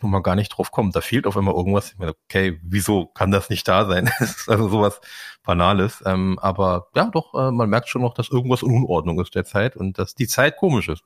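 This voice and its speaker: A man's voice: lowish voice, no room sound, studio quality. A man co-hosting a podcast, easygoing and chatty, with hesitant restarts. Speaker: podcast co-host. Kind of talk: casual podcast conversation.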